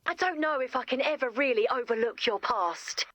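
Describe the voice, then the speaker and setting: An actress playing a timid, apologetic and jittery young female camper with an overly polite manner, in an animated game. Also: sits high, moderate pace, slight background noise. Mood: hesitant. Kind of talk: animated video game voice acting.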